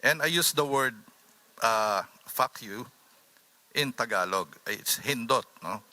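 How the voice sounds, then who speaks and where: A man with a Filipino accent speaking informally as a panelist, in a halting, anecdotal way. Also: mid-range voice, expressive, bright, forceful tone. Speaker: author on a discussion panel.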